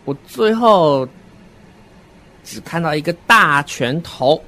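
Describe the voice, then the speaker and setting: A man narrating a first-person horror story in a deliberate, dramatic manner. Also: a little high, expressive, pushed and bright. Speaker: radio drama narrator and voice actor.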